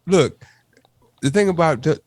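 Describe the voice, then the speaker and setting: A man's voice: on the high side, animated, unhurried, darkish tone, no room sound. A man in his forties co-hosting a podcast, rambling in casual chat and repeating himself when flustered. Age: 40s. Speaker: podcast co-host.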